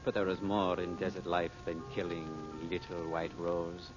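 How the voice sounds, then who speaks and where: A fierce, romantic man of the desert, played by a male actor, woos in a lowish voice. His speech is formal, poetic and intense in the style of a radio melodrama, on a muffled old recording with music underneath. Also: level tone, normal speed, lightly acted. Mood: tender, ardent.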